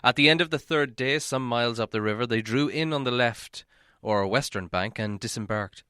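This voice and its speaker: A man reading a story aloud as narration, in a measured, dramatic storytelling manner. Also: medium pitch, sharp tone, clear, resonant tone, no room sound, studio quality. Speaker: audiobook reader.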